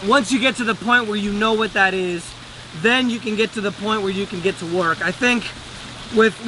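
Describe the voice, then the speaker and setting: High-pitched man over loud background noise. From Venezuela. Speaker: day trader and trading teacher. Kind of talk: casual motivational talk to camera.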